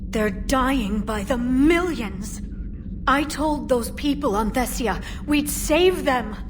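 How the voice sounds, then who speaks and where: A woman's voice: high voice, animated, slow, background noise. An actress playing an earnest, grieving young alien woman scientist in a video game drama. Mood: grieving, guilty. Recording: music behind the voice.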